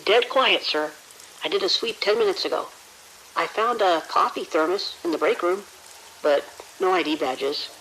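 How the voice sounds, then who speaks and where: A man's voice: high-pitched, normal speed, bright, forceful tone. An actor playing a deferential, slightly awkward junior security guard reporting to his boss in an audio drama, hesitant and casual. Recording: hissy, crackly radio-like noise.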